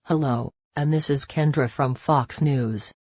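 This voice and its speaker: A female news anchor, played by an amateur delivers a scripted, grave bulletin. The pitch is medium and the sound is dry and close, with a formal tone. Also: steady tone, measured pace, a little mellow, no room sound.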